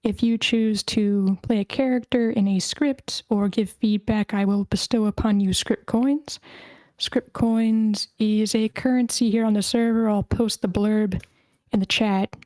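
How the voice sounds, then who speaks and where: Female host, mellow medium-pitched voice, informal and chatty, dry recording. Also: steady tone, measured pace, no room sound, low-quality recording. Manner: informal, chatty, thinking aloud. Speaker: host of an online script table read. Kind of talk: casual event hosting.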